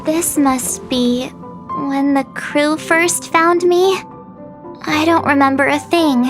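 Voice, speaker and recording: A very high, girlish voice for a headstrong young adventurer in an anime game. She is perky and expressive, yet takes her time over the words, with clear music behind her. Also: slow pace. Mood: wistful. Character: cheerful, headstrong young girl adventurer searching her past.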